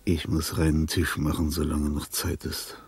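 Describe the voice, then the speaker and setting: An actor playing a dying, lonely old composer in a radio drama, bitter and imperious, with a husky, gravelly, halting delivery. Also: male voice, very deep voice, level tone, a little mellow, no echo, exaggerated voice. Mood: weary, bitter. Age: older. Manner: halting, theatrical, swinging between muttering and outbursts. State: weak, shivering with cold. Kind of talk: German radio drama monologue.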